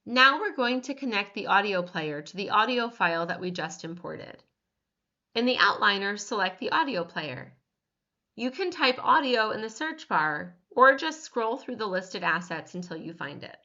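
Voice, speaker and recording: A woman reading scripted step-by-step instructions as a tutorial narrator, measured and clearly enunciated. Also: mid-range voice, very animated, sharp tone, lo-fi sound.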